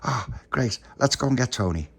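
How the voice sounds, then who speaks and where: A man's voice: medium pitch, expressive, normal speed, gravelly, fairly bright tone, breathy edge, voice pushed up, heavily acted. A man voicing a cheerful, eager little puppy boy in a told story.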